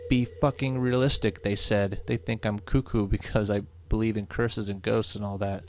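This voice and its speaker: Nervous, exasperated young horror-game lead read by a man, low voice with fry, theatrical delivery. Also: flat delivery, normal speed, lightly acted. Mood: panicky, fed up. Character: nervous, superstitious young real estate agent exploring haunted mansion. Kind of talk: horror visual novel read aloud.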